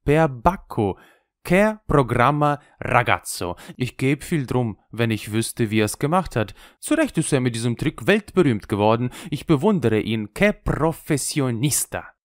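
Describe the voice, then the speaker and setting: A sly old conjurer with an Italian accent, worldly and cynical, mocking everything he has seen, as a man puts him on. His voice leaps and dips with lively expression. The sound is dry and close. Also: mid-range voice, unhurried, dry recording.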